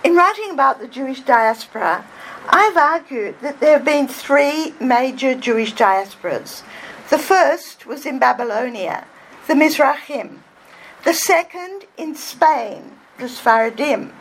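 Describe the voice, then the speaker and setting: A soft-voiced woman with a fairly high voice gives a public lecture at an unhurried, deliberate pace. Her delivery is measured and scholarly, and a faint hiss sits under the recording. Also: lively intonation, poor audio. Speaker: guest speaker, author. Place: hall before an audience.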